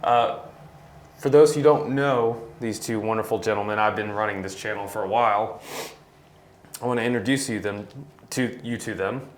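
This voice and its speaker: A man's voice: lowish voice, normal speed. A man in his twenties hosting a casual chat, recorded in an indoor space. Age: 20s. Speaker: host. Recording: roomy echo, noticeable background noise.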